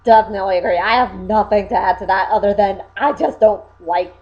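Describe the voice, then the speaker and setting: A woman's voice: medium pitch, brisk. A young woman co-hosting a casual chat, giving blunt, opinionated remarks. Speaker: video co-host. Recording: dull lo-fi home recording.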